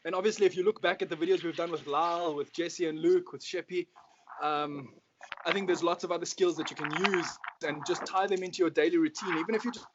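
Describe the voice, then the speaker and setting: A man's voice: a little high, fairly even intonation, fast. A South African man interviewing casually as a football coach, effusive and matey in his encouragement. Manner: effusive, matey, calls guest bro. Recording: dull lo-fi sound with clear noise.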